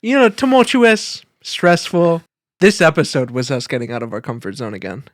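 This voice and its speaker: A man's voice: fairly high pitch, moderate pace, no room sound, clean studio sound. A young man co-hosting a podcast in casual banter, playfully insistent and repeating his points. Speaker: podcast co-host.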